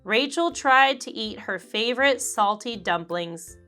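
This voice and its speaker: Woman reading a kids' storybook, bright and bouncy, soft music under it. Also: on the high side. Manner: slow, expressive, animated character voices. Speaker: children's book reader. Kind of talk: read-aloud storybook narration.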